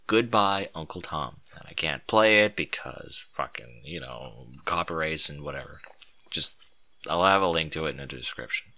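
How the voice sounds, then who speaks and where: A man's voice: on the low side, pushed and bright. A man narrating a comedic fan-fiction story with mock-epic, theatrical emphasis.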